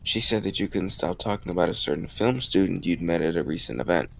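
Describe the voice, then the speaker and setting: A man acting as a smitten college guy with a low voice and plenty of gravelly fry. He talks in a teasing, confident, intimate way, as in a romantic audio roleplay. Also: lively intonation, fast talker, exaggerated voice. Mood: playful, teasing. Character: bold, teasing young male college student, smitten romantic.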